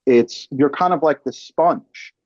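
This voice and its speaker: A man's voice: mid-range voice, slow, a little mellow, dry recording. Man, podcast host, slightly muffled dry recording, emphatic, slangy, analogy-prone, casual interview talk.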